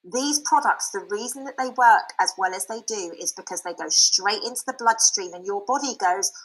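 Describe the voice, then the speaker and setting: A woman giving a frank, chatty personal testimony that turns into a sales pitch, with many fillers and restarts. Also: moderate pace, fairly bright tone, breathy edge, low-quality recording. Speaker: speaker sharing a product testimonial.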